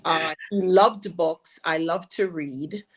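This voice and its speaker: A Jamaican woman in her forties, a guest telling stories about her childhood in an unhurried way. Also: lowish voice. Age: 40s. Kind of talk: conversational interview.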